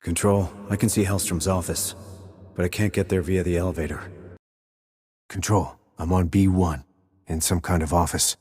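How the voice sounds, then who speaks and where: A man playing a terse, calm military sniper in a video game, clipped and controlled, reporting in tactical radio calls. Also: normal speed, dry recording. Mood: cool, businesslike. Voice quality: low, husky. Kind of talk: tactical radio call acting.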